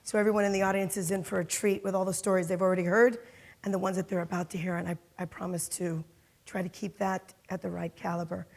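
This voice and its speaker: A middle-aged woman from Miami speaking on a conference panel, telling her career story with lots of fillers. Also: medium pitch. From Miami, Florida. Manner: lively storytelling with frequent fillers and laughs. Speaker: panelist, corporate security executive. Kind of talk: panel talk. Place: conference hall.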